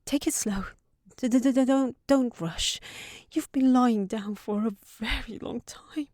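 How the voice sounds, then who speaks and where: Woman acting a slow-spoken, earnest young fairytale housekeeper. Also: fairly high pitch, a little mellow, no echo, clean studio sound. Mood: relieved, frantic. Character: sensible young fairytale housekeeper, secretly smitten with a wizard. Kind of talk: intimate scripted audio roleplay.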